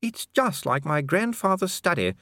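Man doing a posh gentle lady, high and fluttery, bouncy delivery, dry. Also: very animated, normal speed, no echo, heavily acted. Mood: genteel. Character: genteel, kind-hearted noblewoman. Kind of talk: audiobook character voice.

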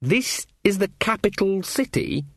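A man's voice: high voice, big pitch swings, unhurried, a bit bright, slightly rough, no room sound, studio quality, pitched above the performer's own voice, heavily acted. A man voicing a young, nervous, fussy academic wizard with a querulous manner in a storybook. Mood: dismayed.

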